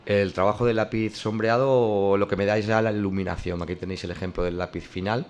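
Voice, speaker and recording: A man's voice: fairly low pitch, steady tone, fairly quick, a little hiss or hum. A man who works as a comic artist, explaining his drawing process in a hesitant way, with many restarts and fillers. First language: not French.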